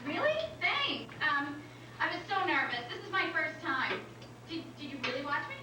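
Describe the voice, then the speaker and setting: A woman's voice: high voice, big pitch swings, normal speed. A woman playing a nervous, gushing young first-time comic who stammers and rambles in a staged reading. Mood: excited, nervous. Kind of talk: staged reading before an audience. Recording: roomy, distant, noisy live recording.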